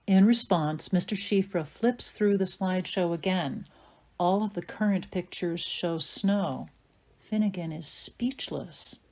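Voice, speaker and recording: A woman's voice: fairly low pitch, unhurried, warm tone. A woman giving scripted narration, reading out stage directions for an audio play.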